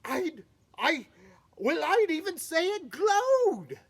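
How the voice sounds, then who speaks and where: A man's voice: very high-pitched, sing-song, slow, a bit bright, pitched above the performer's own voice, full character voice. A man voicing a proud, amazed papa reindeer in a storybook read-aloud, sputtering in surprise.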